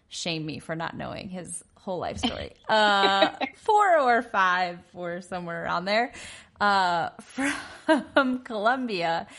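A woman's voice: fairly low pitch, a bit bright. A woman hosting a conversational interview, asking questions and laughing often. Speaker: host.

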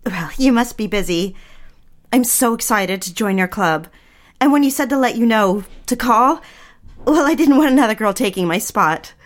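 An actress playing a fragile, eager young mid-century housewife in an audio drama, confiding in a theatrical, genteel way. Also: female voice, on the high side, animated, moderate pace, natural voice. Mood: nervous, eager. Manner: theatrical, confiding, genteel, old-fashioned phrasing. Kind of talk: dramatic scripted audio drama narration and dialogue.

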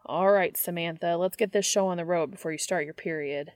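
A woman's voice: fairly low pitch, fairly even intonation, fairly quick, darkish tone, no room sound, close to an everyday voice. A woman voicing a brash, flustered young man in an audiobook, blurting and teasing with sarcasm. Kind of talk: audiobook character voice.

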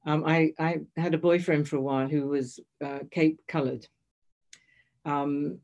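Older woman with a low voice, hesitant. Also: steady tone, measured pace, darkish tone, muffled recording. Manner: hesitant, many restarts and self-corrections. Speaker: guest. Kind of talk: reflective storytelling in conversation.

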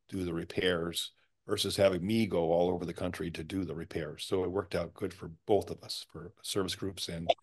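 A man as a podcast guest, recalling his career in a halting, plainspoken way. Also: low voice. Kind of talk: casual career recollection.

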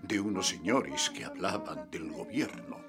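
A man narrating a radio play in the first person, telling his story with lively expression. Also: low voice, unhurried, over music.

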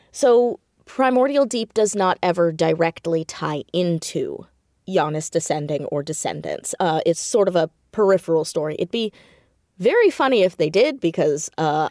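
A woman speaking as a creative guest in a casual interview, animated and self-deprecating, often laughing as she talks. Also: fairly low pitch, dry recording, studio quality. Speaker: podcast guest, audio drama creator and writer.

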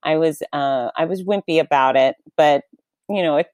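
A middle-aged woman and race announcer, candidly telling a personal story in an interview with lively, self-deprecating emphasis. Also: low voice, normal speed, no room sound, studio quality.

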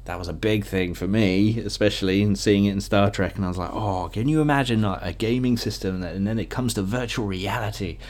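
A young British man with a fairly low-pitched voice hosts a solo podcast. He rambles casually from one memory to the next, informal and enthusiastic, thinking out loud as he goes. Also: fairly quick, few pauses. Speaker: podcast host.

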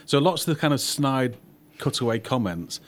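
A man's voice: mid-range voice, expressive, normal speed. A man hosting a film podcast, talking to guests and to listeners. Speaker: podcast host and producer. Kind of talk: Q&A chat and scripted narration.

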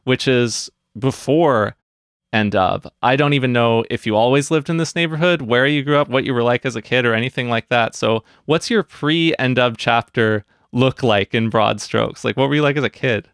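An upbeat man hosts an interview podcast from a close mic in a dry, dead room. His pitch sits in the middle for a man, and he delivers his scripted lines with easy energy. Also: moderate pace, fairly bright tone, clear, resonant tone, no room sound. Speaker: podcast host.

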